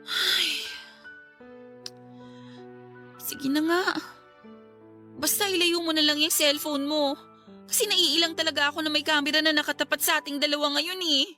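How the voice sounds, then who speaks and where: A woman's voice: sits high, steady tone, normal speed, bright, forceful tone. A woman playing an anxious, devoted college-age girlfriend in a radio drama, pleading and hesitant. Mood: reluctant. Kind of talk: Tagalog radio drama acting. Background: background music.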